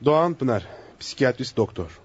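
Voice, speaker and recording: A man's voice: mid-range voice, big pitch swings, normal speed, breathy edge. An actor playing an earnest, resolute male psychiatrist in a radio theatre drama. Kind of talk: Turkish radio theatre acting. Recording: muffled lo-fi radio drama recording.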